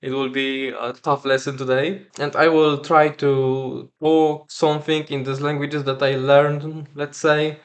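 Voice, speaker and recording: A man from Silesia in Poland, whose first language is Silesian, talks in halting English with a Polish accent, pausing and filling gaps as he vlogs. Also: fairly high pitch, flat delivery. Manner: hesitant, halting non-native English with frequent fillers. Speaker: vlogger. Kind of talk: casual vlog monologue.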